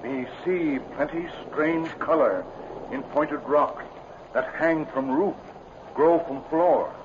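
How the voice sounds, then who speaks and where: An actor playing a loyal, stoic companion to a western hero in a 1950s radio adventure, in terse, clipped broken English. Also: male voice, fairly high pitch, slow pace. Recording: tinny, noisy old radio broadcast.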